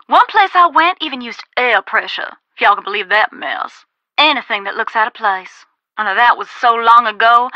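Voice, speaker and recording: An actress playing a folksy, cautious former ghost hunter in a video game, with a Southern US accent and a drawling, cautionary manner. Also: female voice, on the high side, bright, forceful tone, no echo, lo-fi sound. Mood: casual, recalling. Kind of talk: video game dialogue, conversational phone-call acting.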